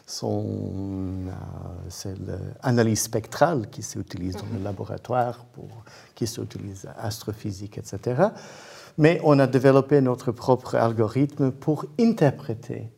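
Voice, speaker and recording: Slow, gravelly man with a foreign accent. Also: fairly low pitch, mellow. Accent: foreign accent in French. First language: not French. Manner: halting, careful, searching for words. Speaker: guest, independent researcher and inventor. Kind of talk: interview explanation.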